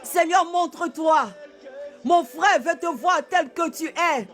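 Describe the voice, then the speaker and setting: Fervent male prayer leader, very high bright voice, slow deliberate delivery, soft music under. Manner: fervent, repetitive, often shouted. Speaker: pastor. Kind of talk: prayer and worship exhortation.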